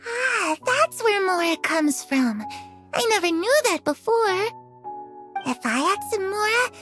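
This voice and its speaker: A woman voicing a gentle, hopeful young girl in a video game, speaking softly and earnestly with wistful curiosity. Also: very high voice, exaggerated voice. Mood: dreamy. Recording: music under the voice.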